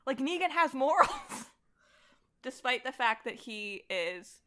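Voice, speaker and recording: A woman's voice: high-pitched, sing-song, slow, a bit bright. A woman chatting casually and enthusiastically as a guest on a podcast. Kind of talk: casual chat.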